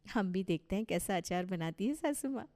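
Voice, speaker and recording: A woman's voice: mid-range voice, animated, moderate pace, mellow, natural voice. A woman playing a cheeky young daughter-in-law in a Hindi cartoon story, polite but teasing and questioning. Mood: sceptical.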